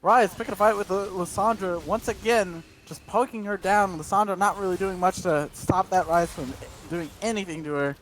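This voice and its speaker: A man's voice: high voice, faint music behind. A man calling esports play-by-play, rattling off action breathlessly and stumbling over his words. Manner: rapid breathless calls, tripping over words. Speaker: play-by-play caster.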